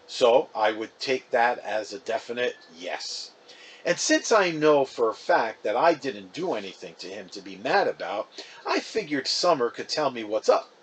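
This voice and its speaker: A man's voice: medium pitch, big pitch swings, moderate pace, breathy edge. A man reading a storybook as a chatty, wounded, defensive fifth-grade boy, casual and emphatic. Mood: baffled, huffy.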